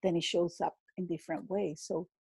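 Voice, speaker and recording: Woman, Venezuelan accent, native Spanish speaker, reflective podcast guest, mellow, slightly husky. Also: on the low side, level tone, dry recording. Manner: slow, reflective, with many fillers and repeats. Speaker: guest, business coach. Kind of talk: casual interview conversation.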